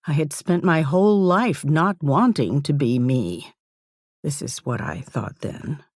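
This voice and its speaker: A woman reading a first-person novel in a measured, intimate, reflective manner, as in an audiobook. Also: low voice, lively intonation, darkish tone, no echo, studio quality.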